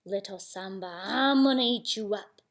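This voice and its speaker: A woman voicing a boastful, menacing male tiger in a children's storybook, with a slow, drawn-out growl. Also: mid-range voice, natural voice.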